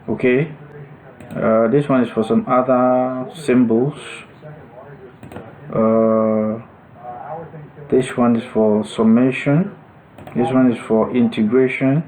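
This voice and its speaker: Slow, mellow male tutorial instructor. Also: medium pitch, poor audio. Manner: halting, thinking aloud as he goes. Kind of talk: unscripted step-by-step screen demonstration.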